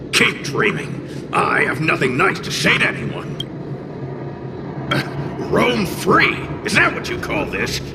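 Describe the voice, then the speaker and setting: High-strung grouchy little fire spirit. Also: male voice, exaggerated voice. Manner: gruff, blustering, taunting. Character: cocky grouchy fiery tail spirit, gluttonous and hot-tempered. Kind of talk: video game voice acting. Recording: music behind the voice.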